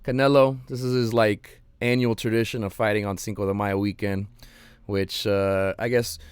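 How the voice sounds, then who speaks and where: A man hosting his own show, chatting casually and rambling with lots of ums and slang, his voice slightly hoarse. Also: lowish voice, flat delivery, moderate pace, no room sound. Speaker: podcast host. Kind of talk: casual solo livestream chat.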